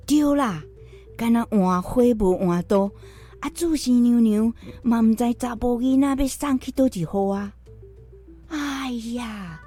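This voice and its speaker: Fretful old Taiwanese grandmother, radio drama, highish voice, slow and exclamatory, superstitious. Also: dark tone. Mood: muttering, dismayed. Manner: drawn-out, sighing exclamations.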